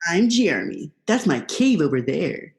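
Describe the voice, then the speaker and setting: Woman as Jeremy, a sardonic low-voiced eel. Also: very animated, normal speed, a little mellow. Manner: sardonic, dramatic. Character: snarky, theatrical eel schemer named Jeremy. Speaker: actor. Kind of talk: radio play acting.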